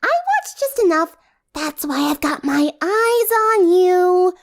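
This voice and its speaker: A hyper teenage cartoon girl, pitched extremely high. The delivery is gushing and exaggerated, swinging widely up and down with excitable drama. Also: slow pace, full character voice. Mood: playful suspicion.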